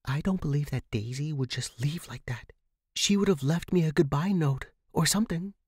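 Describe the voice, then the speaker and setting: A man's voice: on the high side, moderate pace, husky, dry recording, clean studio sound, pitched above the performer's own voice. A man voicing a persuasive young woman, insistent and conspiratorial, in a horror story. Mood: suspicious. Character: daring, persuasive young woman writer, scheming friend.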